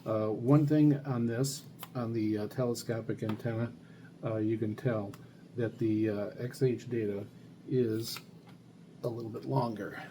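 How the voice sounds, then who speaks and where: A man hosting a casual hands-on demonstration, talking in a halting, unscripted way as if thinking aloud. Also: medium pitch, fairly even intonation. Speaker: hobbyist video host reviewing radios. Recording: noisy recording with loud background noise.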